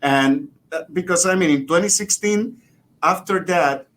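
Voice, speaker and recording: Higher-pitched Puerto Rican man, faint rumble. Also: measured pace, a bit bright. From Puerto Rico. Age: middle-aged. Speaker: guest, biology professor. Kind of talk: casual interview chat. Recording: rumbly background noise.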